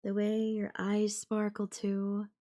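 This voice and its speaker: A woman playing a possessive, doting young yandere girlfriend in an intimate audio roleplay, speaking coyly and affectionately with lingering pauses. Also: medium pitch, completely flat delivery, dark tone, close to an everyday voice. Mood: doting, wistful.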